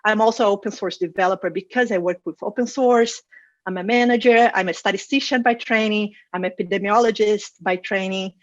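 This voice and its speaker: A woman's voice: mid-range voice, fairly even intonation, a little fast, no room sound, lo-fi sound. A woman from Brazil with a Brazilian Portuguese accent gives an informal conference presentation in a conversational, somewhat hesitant way. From Rio de Janeiro, Brazil. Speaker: conference speaker, data science manager.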